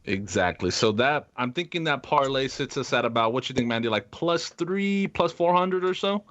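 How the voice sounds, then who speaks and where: A man's voice: mid-range voice, lively intonation, moderate pace, fairly bright tone, muffled recording. A man co-hosting a sports podcast, chatting casually about betting.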